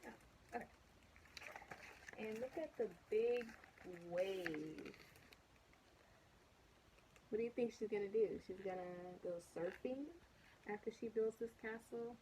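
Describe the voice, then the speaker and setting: A woman reads a picture book aloud for children at a very leisurely pace. Her voice sits a bit high, and she chats to her young listeners with casual questions and asides. There is slight noise in the background. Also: takes it slowly, long pauses. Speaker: storybook reader.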